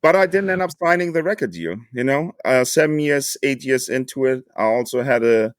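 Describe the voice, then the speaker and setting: A man's voice: medium pitch, animated, brisk, dry recording, studio quality. A man in his fifties from the Hamburg region, a native German speaker, casually telling his story in slangy, joking English as a podcast guest. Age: 50s. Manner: laid-back American slang, joking.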